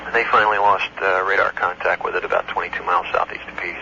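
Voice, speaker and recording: Gravelly low-voiced man on a noisy call. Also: level tone, bright, forceful tone. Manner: matter-of-fact, halting recall with technical detail. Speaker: air traffic control supervisor. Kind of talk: recounting an incident on a phone call. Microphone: telephone. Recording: noisy muffled old taped phone call.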